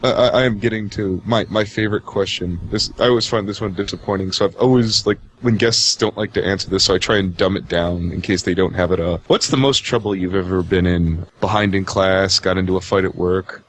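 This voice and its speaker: Male interviewer, lower-middle voice, informal and joking, with faint noise behind him. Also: steady tone, brisk, few pauses, fairly bright tone. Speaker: host, interviewer. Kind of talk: casual podcast interview.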